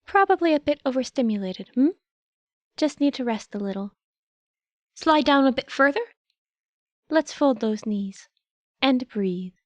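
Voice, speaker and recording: Woman voicing a high, prim little animal mentor. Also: lively intonation, a little slow, pauses often, no echo, highs cut off. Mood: soothing. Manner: prim, reassuring, fussy. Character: small chatty animal principal, male, gently soothing. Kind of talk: audiobook character voice.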